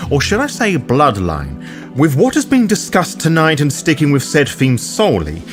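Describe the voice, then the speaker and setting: Lively, theatrical male guide narrator. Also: mid-range voice. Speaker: host of a roleplay guide show. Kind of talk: scripted narration.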